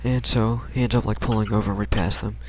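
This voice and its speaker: A man's voice: on the low side, fairly even intonation, quick pace, a little mellow, a little hiss or hum, poor audio. A young American man casually telling a personal story in a rambling, slangy, hesitant way. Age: young adult.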